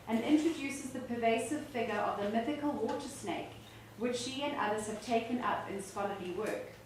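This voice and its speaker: A woman academic with a South African accent reads reviews aloud at a book launch, measured and unhurried, with small restarts. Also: a little high, slight background noise. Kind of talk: reading aloud to an audience. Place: book launch venue, echoey room. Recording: echoey room from far off.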